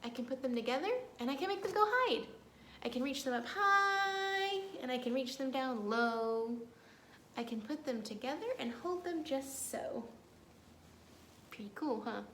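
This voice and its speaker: High-voiced woman leading children's storytime, echoey room. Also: animated, normal speed, a bit bright. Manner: playful, sing-song, talking directly to young children. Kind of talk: children's storytime with rhymes and action songs. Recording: echoey room with faint background noise.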